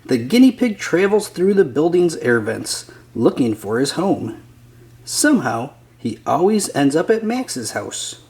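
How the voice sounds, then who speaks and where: A man's voice: a little high, very animated, measured pace, darkish tone. A man reading a children's picture book aloud as a scripted narrator.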